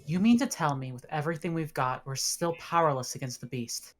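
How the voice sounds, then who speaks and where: A woman's voice: low-pitched, brisk. A woman reading a resolute spaceship officer in a sci-fi table read, terse and commanding. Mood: frustrated.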